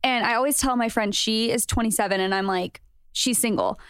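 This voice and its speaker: A woman's voice: on the high side, brisk, fairly bright tone, smooth, no echo, studio quality. A woman in her twenties, a podcast guest, talking earnestly and thoughtfully in casual chat. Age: 20s.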